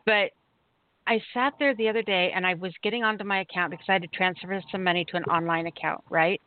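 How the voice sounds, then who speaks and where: A woman telling a story on a call-in show in a chatty, animated way, laughing often. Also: fairly low pitch, fast talker. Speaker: caller sharing a story. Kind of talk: casual call-in chat. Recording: tinny phone line with faint noise.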